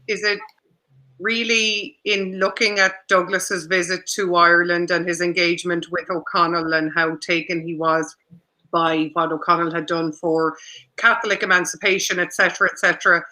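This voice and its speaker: A man moderates a discussion in a very bright, forward voice. The intonation stays fairly flat, while the questions come out wordy and tentative, circling before they land. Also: high-pitched, normal speed.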